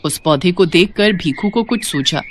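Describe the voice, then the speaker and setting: A woman narrating a scripted children's story in an expressive storytelling style. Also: lowish voice, moderate pace, slight background noise.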